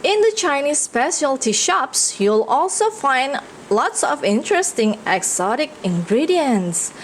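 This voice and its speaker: Female children's read-aloud storyteller, higher voice, unhurried expressive delivery, slight background noise in the recording. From Indonesia. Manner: expressive, pausing to ask listeners questions.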